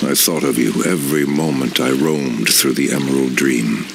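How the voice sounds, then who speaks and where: Casting: oeroude druïdeleider, ernstig en plechtig, heel diep en rasperig. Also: male voice, fairly even intonation, normal speed, background noise. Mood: grave. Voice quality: deep, gravelly, solemn. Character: ancient male elf druid leader, grave. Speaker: game cutscene character. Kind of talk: game cutscene acting. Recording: voice over loud music.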